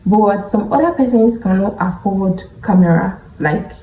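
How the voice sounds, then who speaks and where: A woman's voice: medium pitch, slight background noise. A woman with a Nigerian accent vlogging casually to a phone camera, speaking candidly and stretching words for emphasis. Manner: candid, drawn-out emphatic phrasing. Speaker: beauty vlogger. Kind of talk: casual vlog talking to camera. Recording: dull, echoey room recording.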